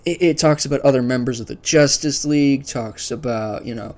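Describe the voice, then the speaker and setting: Man, medium pitch, casual video host, unscripted commentary, conversational, opinionated, thinking aloud. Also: a little fast, darkish tone. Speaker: video host ranking a series list.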